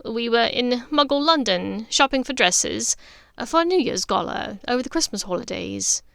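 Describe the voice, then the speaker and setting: Audiobook role: warm witch, older sister female narrator acting, expressive, halting, raised pitch, dry sound. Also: gravelly, no room sound. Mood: fond reminiscing.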